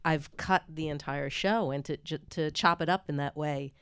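A woman's voice: low-pitched, moderate pace, smooth. A woman working as a television editor, speaking on a panel in a frank, conversational way. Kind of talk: panel discussion.

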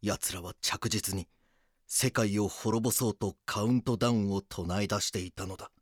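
An actor playing a grave, weary police detective, narrating a case in the first person with serious, measured delivery. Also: male voice, on the low side, fairly even intonation, husky, dry recording, studio quality.

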